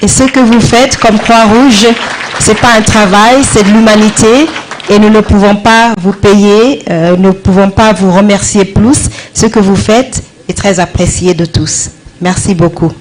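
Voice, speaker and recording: Woman interpreting a speech, medium pitch. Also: steady tone, normal speed, a little hiss or hum, low-quality recording. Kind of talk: spoken consecutive interpreting of a speech. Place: live event beside the speaker.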